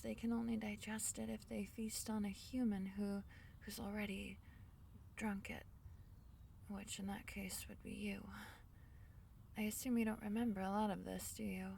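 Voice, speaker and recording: A woman playing a small, shy, kind forest fairy in an intimate ASMR fantasy roleplay, speaking softly and hesitantly. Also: medium pitch, vocal fry, recorded from a distance, slight background noise. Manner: soft-spoken, gentle, hesitant, breaking into whispers.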